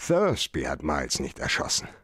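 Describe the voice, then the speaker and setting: An actor playing a cold, sardonic private detective in a radio crime play, speaking in terse, accusing lines. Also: male voice, a bass voice, wildly swooping pitch, moderate pace, bright, forceful tone, husky, no room sound, studio quality, exaggerated voice. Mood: coldly accusing. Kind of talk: radio crime drama acting.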